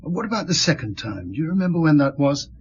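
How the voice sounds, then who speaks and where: An actor playing a calm, gentle middle-aged police inspector who questions softly and reassuringly in a radio drama. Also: male voice, fairly high pitch, slightly rough, highs cut off, exaggerated voice. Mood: gently probing. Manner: unhurried, reassuring questioning with thoughtful murmurs.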